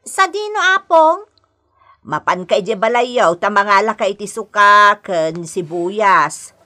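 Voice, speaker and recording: A demanding grandmother who nags and orders her family about in a radio comedy drama. Her voice is sharp and forceful, swinging widely as she drags out her scolding words. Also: mid-range voice, lively intonation, soft background music. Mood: bossy. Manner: nagging, bossy, drawn-out delivery. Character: demanding, scolding older mother-in-law and grandmother.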